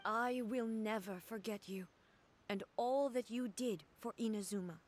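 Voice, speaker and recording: A woman voicing a composed, regal ruler-god in a video game, measured and solemn. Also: fairly high pitch, darkish tone, a little hiss or hum, natural voice.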